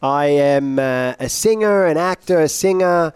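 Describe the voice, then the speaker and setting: A young man with a British-sounding accent, telling his story casually and haltingly as a guest, with some joking. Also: fairly high pitch, a little mellow, clear, resonant tone, no echo, clean studio sound. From Iraq, later Australia. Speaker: interview guest, singer. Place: at a conference.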